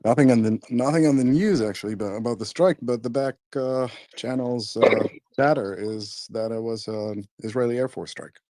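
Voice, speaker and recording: A man giving a measured analysis in a casual chat, pausing with fillers. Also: medium pitch, mellow, no room sound. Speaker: guest analyst.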